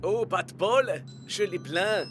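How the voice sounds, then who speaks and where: Eager, high-voiced young footballer. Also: male voice, lively intonation, slow. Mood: surprised. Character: eager, cocky young male football player. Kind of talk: animated cartoon dub. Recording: loud music behind.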